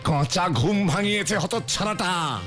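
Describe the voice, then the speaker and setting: A man's voice: high voice, animated, normal speed. A man voicing a grumpy, easily outraged older father-in-law in a cartoon, stammering and repeating himself. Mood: irritated. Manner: stammers, repeats words and a verbal tic. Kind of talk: cartoon voice acting. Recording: music behind the voice.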